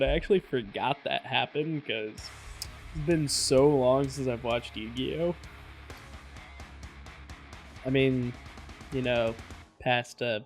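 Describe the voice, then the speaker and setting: A man's voice: medium pitch, darkish tone. A man hosting a reaction video, chatting casually to viewers and swearing freely.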